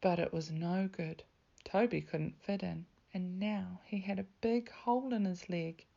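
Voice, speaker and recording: A woman reading a children's storybook aloud as a teacher, with expressive narration. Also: lowish voice, unhurried, vocal fry, muffled recording.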